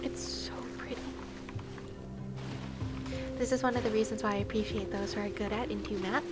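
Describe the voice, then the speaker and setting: A woman's voice: mid-range voice, steady tone, moderate pace. A woman livestreaming a game, chatting casually in a playful, teasing way with exclamations of wonder. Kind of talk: casual livestream chat over gameplay. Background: game music.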